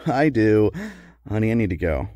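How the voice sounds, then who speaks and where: An actor playing a worried, long-suffering husband of a secret superheroine in an audio comedy drama. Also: male voice, lowish voice, animated, moderate pace, warm tone, dry recording, lo-fi sound, lightly acted. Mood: dry.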